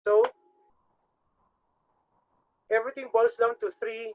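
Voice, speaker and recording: A man with a Filipino accent teaching a webinar, formally addressing the audience as ladies and gentlemen. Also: extremely high pitch, level tone, slow. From Philippines. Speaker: webinar trainer. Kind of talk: instructional webinar talk. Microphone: video call microphone. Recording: dull lo-fi webinar audio.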